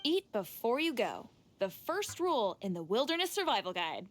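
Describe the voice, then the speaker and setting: A woman's voice: on the high side, sing-song, normal speed. A woman playing a friendly young adventurer and survival expert in a video game, helpful and instructive. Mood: welcoming. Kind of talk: video game character acting.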